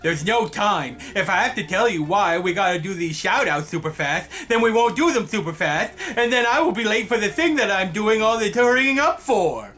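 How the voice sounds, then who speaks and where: A man voicing a rushed, blustering blue male character who sometimes talks like a caveman. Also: high-pitched, animated, pushed and bright, soft background music. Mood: hurried.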